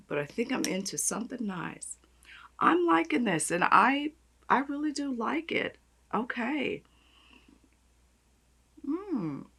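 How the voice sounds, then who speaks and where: A woman with a raspy voice, chatting and gushing as she does her makeup on camera. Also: on the low side, fairly bright tone. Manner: gushing, chatty, talks while applying makeup. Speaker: beauty vlogger. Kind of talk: makeup tutorial chat.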